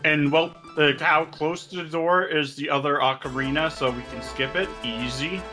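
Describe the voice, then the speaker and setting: A man commentating a live game race in a casual chat, breaking down the game logic and throwing in quick jokes. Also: a little high, fairly even intonation, bright, forceful tone, faint music behind. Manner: jokey, analytical, quick asides.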